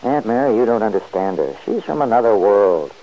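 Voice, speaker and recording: A man playing a restless, stubborn middle-aged man who answers gruffly and defensively, in 1940s radio acting. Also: fairly low pitch, very animated, brisk, highs cut off.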